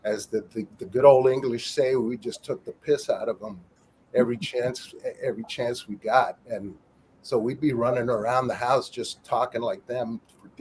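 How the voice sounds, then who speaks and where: A man's voice: mid-range voice, darkish tone, slight background noise, rough recording. A middle-aged man, a former wrestler, telling stories as an interview guest with wisecracks. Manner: wisecracking, storytelling, calls people chick. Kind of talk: casual interview chat.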